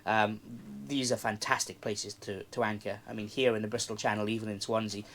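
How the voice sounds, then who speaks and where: A man's voice: lowish voice, steady tone, brisk, fairly bright tone. Guy in his 40s chatting on a moored boat, lots of ums and restarts. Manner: rambling, many fillers and restarts. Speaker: interviewed yacht owner. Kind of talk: casual interview. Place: outdoors on a moored yacht.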